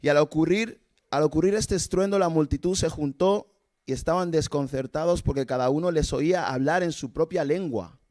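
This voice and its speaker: A man's voice: fairly high pitch, normal speed, darkish tone. A man from Cameroon, preaching a casual sermon at a church service and asking the congregation rhetorical questions. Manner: informal, asks rhetorical questions, chuckles between points. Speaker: evangelist preacher. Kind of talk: conversational sermon.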